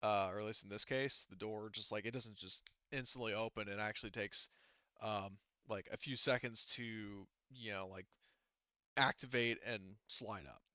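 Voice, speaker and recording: A man's voice: on the low side, fairly even intonation, normal speed, bright, forceful tone, clean tone, dry recording, muffled recording. A man acting as game master, explaining and narrating an online tabletop game in a casual, hesitant, rambling way.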